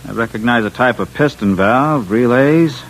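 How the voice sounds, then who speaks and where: A man's voice: mid-range voice, moderate pace, close to an everyday voice, rough recording. An actor playing a confident, wry Earthman inventor in a 1950s radio drama, with a casual, cocky manner. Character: brash, confident Earthman inventor held by aliens. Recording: old-time radio recording.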